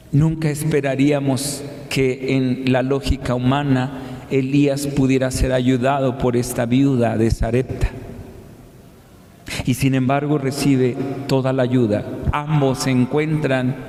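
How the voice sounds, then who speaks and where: Mexican male priest, 50s, for a homily: slow, conversational delivery with weighty pauses, recorded in a very reverberant church. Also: medium pitch, a little mellow. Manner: conversational, rhetorical questions, deliberate pauses. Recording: echoey hall with long reverb.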